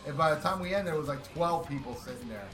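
A man co-hosting a podcast, talking in a loud, powerful voice in a brash, profane, boastful way. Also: fairly high pitch, expressive, quick pace, reverberant, soft background music. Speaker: podcast co-host. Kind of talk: casual podcast chat.

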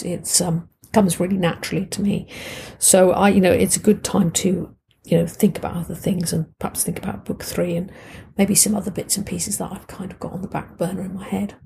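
A middle-aged woman with a husky, slightly croaky voice, hosting a podcast in a chatty, informal, rambling way. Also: lowish voice, dark tone, slight background noise. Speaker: podcast host. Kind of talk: casual conversational podcast hosting.

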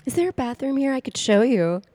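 A woman's voice: high-pitched, sing-song, a little fast, warm tone, dry recording. A woman playing a coy, seductive mother on a date in improvised comedy, suggestive and affected. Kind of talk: improvised comedy parody of radio play.

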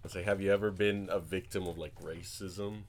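A man co-hosting a podcast in casual group chat, often halting and restarting his sentences. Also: sits low, steady tone, heavy background noise. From US-Mexico border region. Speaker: podcast co-host.

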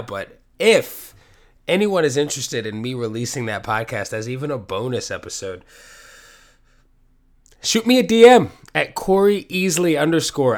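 A man hosting a casual solo podcast from his apartment while sick with a cold, sniffly and rambling, with plenty of swearing. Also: mid-range voice. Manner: rambling, profane, self-deprecating. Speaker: podcast host. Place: home apartment.

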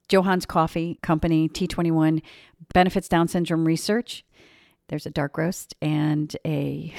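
A woman's voice: low voice, creaky, a little mellow, no room sound, studio quality. A woman co-hosting a podcast, speaking in an earnest, reflective way. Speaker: podcast co-host. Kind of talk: podcast conversation.

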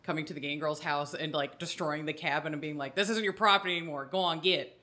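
A Scottish woman with a fairly low voice and a bright, forward tone. She leads a casual chat as a podcast co-host, explaining things with irreverent, sweary humour. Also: fast talker. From Scotland. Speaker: podcast co-host leading the discussion. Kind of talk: casual explanatory chat about a tabletop game.

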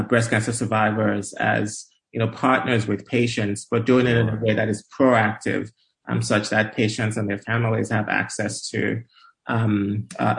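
A man answering interview questions conversationally, hesitant and often pausing to find his words. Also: on the low side, vocal fry, fairly bright tone. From Nigeria. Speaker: interview guest, medical researcher.

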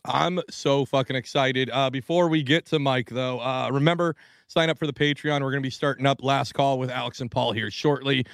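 Male podcast host, gravelly voice, dry close sound, swears freely. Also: mid-range voice, fairly even intonation, brisk, dry recording. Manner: profane, laughing, loose. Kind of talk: casual chat.

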